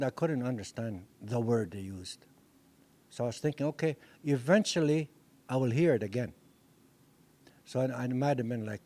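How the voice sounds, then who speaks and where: An older man whose first language is Dakota speaks to a class through a handheld microphone. He talks earnestly, often restarting and repeating phrases as he works through a thought. His pitch sits in an ordinary middle range. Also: darkish tone. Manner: earnest, repetitive, with frequent restarts. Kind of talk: classroom lecture. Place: indoor meeting room. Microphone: handheld mic.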